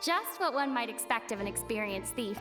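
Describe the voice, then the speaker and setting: An actress playing a calm young woman traveler laying out a case in a deliberate, analytical way, over game music. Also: on the high side, close to an everyday voice. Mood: thoughtful. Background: game score music.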